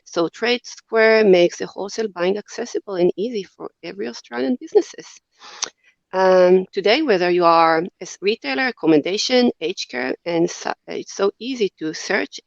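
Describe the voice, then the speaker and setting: A woman's voice: mid-range voice, vocal fry, dry recording, muffled recording. A woman in her forties from Israel with an Israeli accent, a company head speaking earnestly in a podcast interview, with many fillers and restarts. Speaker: company CEO, guest.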